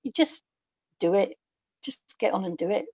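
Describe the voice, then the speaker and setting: A woman in her sixties speaking as an interview guest, thoughtful and hesitant, pausing mid-sentence as she reflects. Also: medium pitch, expressive, gravelly, darkish tone, husky, dull audio. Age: 60s. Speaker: guest, audio drama writer.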